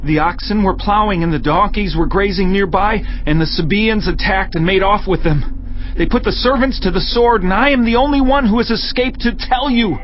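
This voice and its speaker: A man doing a panicked high-voiced messenger. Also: moderate pace, slight background noise, lightly acted. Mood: urgent. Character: panicked male messenger, young adult. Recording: dull lo-fi recording.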